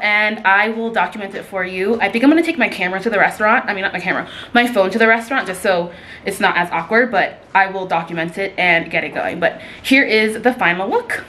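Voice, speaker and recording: A woman's voice: mid-range voice, a bit bright. Upbeat woman vlogging to camera. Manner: chatty and upbeat, with filler "um"s. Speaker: travel vlogger. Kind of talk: casual vlog talk to camera. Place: indoors at a tiled restaurant table and a hotel room.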